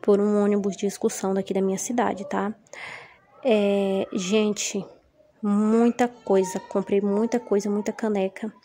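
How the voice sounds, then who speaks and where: A Brazilian woman with a mellow, slightly hoarse voice from a cold chats casually to her viewers. She keeps asking little tag questions as she goes. She is recorded on a phone in a home kitchen. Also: mid-range voice, little pitch movement, a little slow, a little hiss or hum. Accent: Brazilian Portuguese. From Maranhão, Brazil. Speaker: vlogger. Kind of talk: casual haul vlog. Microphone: phone camera held over table.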